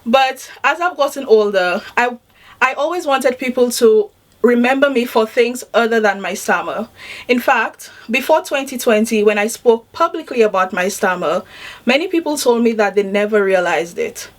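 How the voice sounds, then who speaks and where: A woman in her twenties giving a prepared inspirational talk with deliberate, emphatic phrasing. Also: a little high, expressive. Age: 20s. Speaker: public speaker.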